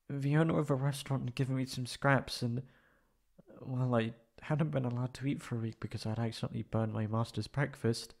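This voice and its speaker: A man voicing a wounded, anxious young catboy in an emotional ASMR roleplay, halting and stammering tearfully. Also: mid-range voice, gravelly, a little mellow.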